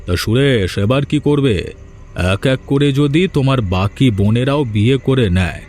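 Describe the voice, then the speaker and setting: A man's voice: medium pitch, moderate pace, a little mellow, a little hiss or hum, close to an everyday voice. A man voicing a scheming older father-in-law, insistent and protective of his daughter, in a fairy-tale cartoon. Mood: conspiratorial, insistent.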